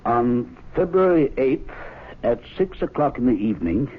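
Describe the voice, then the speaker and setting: An actor playing a fussy, credulous gentleman in a radio drama, earnest and hesitant in manner. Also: mid-range voice, sing-song, normal speed. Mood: hesitant, curious. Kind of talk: 1970s radio drama acting. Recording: tinny, narrow old radio broadcast.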